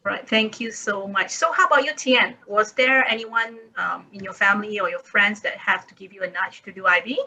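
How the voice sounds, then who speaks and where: A woman hosts a school's online panel, thanking each guest and passing questions along with many fillers. Her voice is bright and a little sharp. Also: medium pitch, normal speed, few pauses, low-quality recording. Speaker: panel moderator. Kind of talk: moderating a school webinar.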